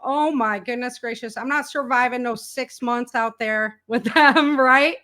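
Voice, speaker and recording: A woman's voice: fairly high pitch, measured pace, bright, forceful tone. A woman speaking as an interview guest in a casual, spontaneous conversation, colloquial and emphatic, voicing other people's remarks as she goes. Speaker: interview guest, author and podcaster on survival prepping.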